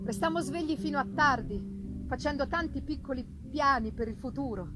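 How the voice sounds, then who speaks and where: A woman with a high voice narrates a novel in a theatrical, deliberate manner. She pauses for effect between phrases, and live music plays clearly behind her. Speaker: reader of a novel, narrating in first person. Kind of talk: dramatic literary narration, live performance. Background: live musical accompaniment.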